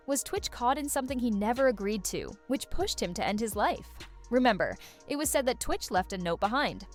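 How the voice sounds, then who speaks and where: A woman's voice: on the high side, animated, fairly quick, faint music behind. A woman reading scripted gossip-video narration in a dramatic, suspense-building way.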